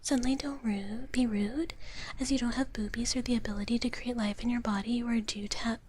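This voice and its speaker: A woman whispers softly and breathily into a close ASMR microphone, her voice slightly high. She rambles playfully to her viewers in a casual livestream style, often repeating herself. A faint noise sits behind her voice. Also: fairly even intonation, brisk. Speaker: livestream host, VTuber.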